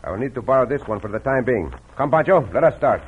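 Casting: man acting commanding Mexican western hero, formal precise speech, mid-pitched, tinny old radio recording. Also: animated, fast, heavy background noise, lightly acted. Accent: Mexican, put on. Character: Cisco, commanding gallant Mexican outlaw hero.

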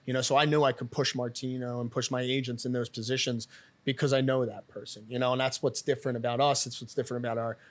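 A middle-aged man with a Philadelphia accent talks shop as a podcast guest. He is blunt and rambling, looping back on himself with restarts, his voice at an ordinary middle pitch. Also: little pitch movement, quick pace. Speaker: real estate team leader, podcast guest. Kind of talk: casual interview chat.

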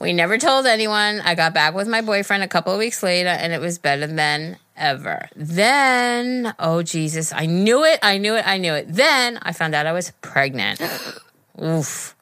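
American woman, middle-aged podcast co-host. Lowish, forceful bright voice, animated casual delivery. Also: normal speed, clear, resonant tone, no room sound, studio quality. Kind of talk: casual podcast chat, reading listener letters aloud.

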